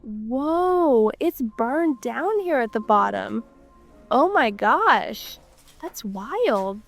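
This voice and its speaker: A fairly high-voiced woman with a stuffed-up, congested sound from a cold. She gives a chatty, excitable guided tour of an abandoned house, often exclaiming in amazement. Faint music plays under her voice. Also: very slow, vocal fry. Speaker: urban explorer vlogger. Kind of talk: casual guided tour narration.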